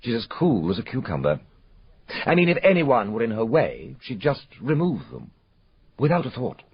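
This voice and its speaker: Troubled gentleman, dry and husky. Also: medium pitch, wildly swooping pitch, moderate pace, no room sound, full character voice. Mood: gossipy, intense. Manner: polite, clipped, confiding. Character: troubled, gossipy gentleman actor, well-mannered and hesitant. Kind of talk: radio drama acting. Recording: dull, roomless lo-fi radio recording.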